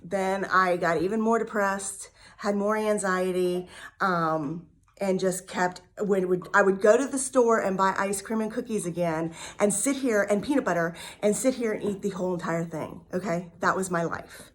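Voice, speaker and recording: A woman's voice: medium pitch, moderate pace. A woman in her fifties telling her personal story in a candid, talkative way, with many ums and repeated words. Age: 50s. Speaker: vlogger sharing a recovery story. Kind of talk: casual personal testimony.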